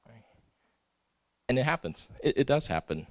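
Earnest preacher who grew up in Singapore. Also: male voice, mid-range voice, big pitch swings, normal speed. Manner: earnest, frequent "right?" tags and stumbling restarts. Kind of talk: sermon.

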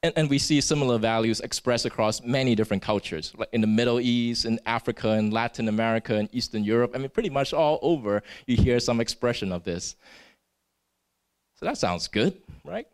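A man's voice: medium pitch, fairly quick. A man from Singapore preaching a sermon in an earnest way. He often tags his points with "right?" and restarts his words.

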